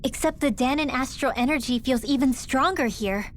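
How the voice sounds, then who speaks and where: A woman's voice: sits high, normal speed, a little hiss or hum, natural voice. A woman voicing a spirited, cheeky young girl companion in a video game, with an eager manner. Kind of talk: video game dramatic acting.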